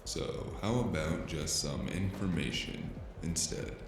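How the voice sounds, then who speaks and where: A man's voice: low voice, expressive, takes it slowly, heavily acted. A man playing a dry, weary, hesitant investigator in a cyberpunk noir audio drama. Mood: awkward, flirting. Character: male freelance investigator, dry, weary, awkwardly flirtatious noir loner. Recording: music behind the voice.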